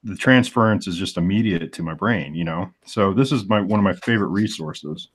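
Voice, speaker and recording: Male comic artist, forties, very low voice, thoughtful and searching delivery in casual stream chat. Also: a little fast, breathy edge. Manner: thoughtful, searches aloud for the right words.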